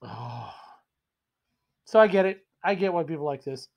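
A hoarse man with a head cold talks into his webcam from a small room at home. He rambles cheerfully and pokes fun at himself while he reviews a whiskey. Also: a little high, lively intonation, measured pace. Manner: rambling, self-deprecating, enthusiastic. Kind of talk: casual tasting vlog.

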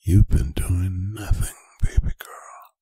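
A man playing a stern, angry, domineering boyfriend in a whispered ASMR roleplay, breathy and gravelly, with slow, deliberate, menacing phrasing. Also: deep voice, dark tone, no echo, full character voice. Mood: accusing, interrogating. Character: stern, angry, domineering boyfriend scolding his adult partner. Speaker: ASMR voice performer. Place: bedroom, close and dry.

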